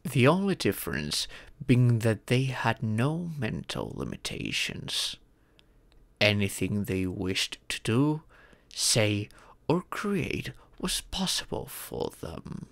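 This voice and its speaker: A man voicing a wise, fatherly male dragon who patiently teaches in a measured, formal storytelling manner for a roleplay audio. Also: lowish voice, huge pitch swings, vocal fry, no echo, exaggerated voice. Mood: measured, explanatory.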